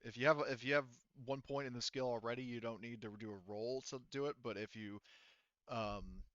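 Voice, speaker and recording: A man runs a tabletop game as game master in casual conversation. His voice sits at an average pitch. The recording is slightly dull and very dry. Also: fairly even intonation, a little fast, a bit bright, clear, resonant tone, no echo.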